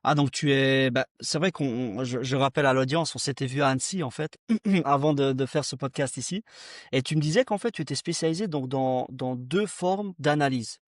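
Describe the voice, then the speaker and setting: A man's voice: mid-range voice, brisk, dry recording, clean studio sound. A man hosting a podcast as a casual chat, affirming his guest and often repeating short phrases. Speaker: podcast host.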